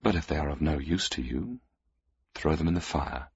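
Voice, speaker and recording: A man's voice: very deep voice, moderate pace, slightly rough, no echo, muffled recording, heavily acted. A man voicing a cool, commanding woman collector. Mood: cool, businesslike. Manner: calm and curt.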